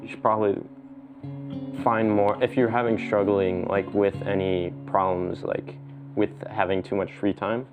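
A man with a low, flat voice shares his thoughts in a casual faith conversation. He speaks in halting bits, pausing often with "uh". Plucked guitar music plays clearly underneath. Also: rough recording. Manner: halting, full of pauses and "uh".

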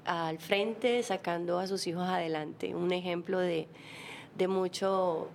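A woman, a guest telling her life story in a relaxed chat, laughing often. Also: on the low side, takes it slowly, slight background noise. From Colombia. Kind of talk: casual interview chat.

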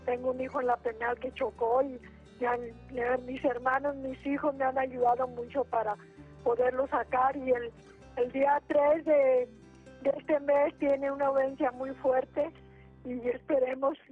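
A woman's voice: sits high, flat delivery, a little slow, breathy edge, faint music behind. A woman in her eighties with a Mexican accent, calling in to pray and share her troubles, devout and rambling with many restarts. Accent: Mexican Spanish. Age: 80s. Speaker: caller. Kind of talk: spoken prayer and testimony. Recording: tinny phone line.

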